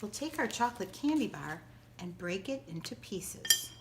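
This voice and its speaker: A woman giving a step-by-step cooking demonstration like a teacher, with simple, clear directions. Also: medium pitch, very animated, moderate pace, very noisy. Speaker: school teacher presenting a recipe.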